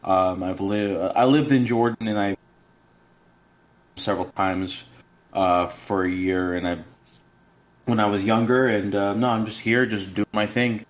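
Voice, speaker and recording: Male podcast guest, lowish voice, halting and full of restarts, remote call line. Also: steady tone. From United States. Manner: halting, with frequent restarts and fillers. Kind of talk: casual podcast chat. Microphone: remote call audio that cuts in and out.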